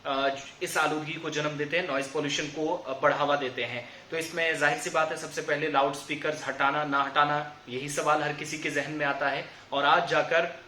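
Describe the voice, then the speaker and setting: A man's voice: fairly high pitch, flat delivery, moderate pace, sharp tone, reverberant, a little hiss or hum. A man presenting the news in a semi-improvised explainer, wordily rephrasing his points as he goes.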